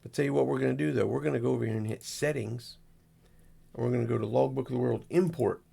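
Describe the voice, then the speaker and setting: A man with a very gravelly, creaky voice and a husky edge hosts a casual screen walkthrough. He rambles in a folksy, unscripted way, talking through each step as he does it. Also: medium pitch, fairly even intonation, fast talker, dark tone. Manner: folksy, rambling, narrates each click as he goes. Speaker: amateur radio operator, video host.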